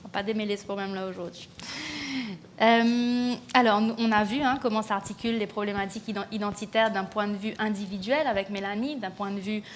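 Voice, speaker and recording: A woman hosting and moderating a live conference panel, asking her guests brisk, direct questions. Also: mid-range voice, fairly even intonation, a little hiss or hum. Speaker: host and moderator.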